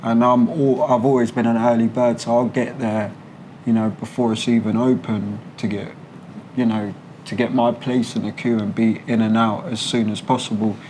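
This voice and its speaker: A man with a London accent chats candidly as an interviewee. His talk is colloquial and slangy, and he likes to explain how things work. His pitch sits in the middle, and a little noise and room ambience sit behind him. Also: flat delivery, normal speed, warm tone, rough recording. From London, England. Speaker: interviewee, British expat. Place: a condo apartment.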